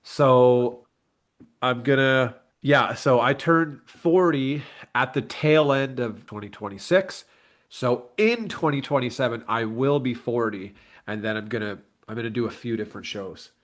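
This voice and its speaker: A man in his late thirties speaks as a guest in a relaxed interview. His pitch is middling, and he talks in a candid, reflective, earnest way, like an athlete and coach chatting informally. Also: measured pace. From Canada. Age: late 30s. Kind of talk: casual interview chat.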